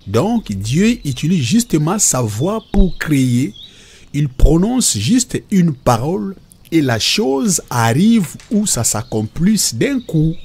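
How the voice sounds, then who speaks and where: Man as cartoon father, fairly high voice, husky, didactic, patient, unhurried delivery. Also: sing-song, a little mellow, full character voice. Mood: patient, instructive. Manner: didactic, explains definitions step by step. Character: patient, instructive father explaining nature and God to children.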